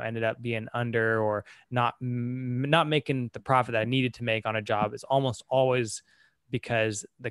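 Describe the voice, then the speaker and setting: A man's voice: medium pitch, fairly bright tone, smooth, dry recording, studio quality. An American man chatting casually on a podcast as someone who works in tree service. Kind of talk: casual shop talk.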